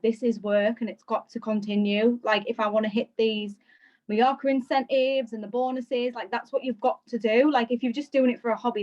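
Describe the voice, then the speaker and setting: Woman guest, earnest, rambling, slightly muffled call audio. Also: a little high. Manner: earnest, rapid, heavy use of "like". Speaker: guest team member sharing takeaways. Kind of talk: casual chat on a video call. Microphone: video call, phone balanced on a bag.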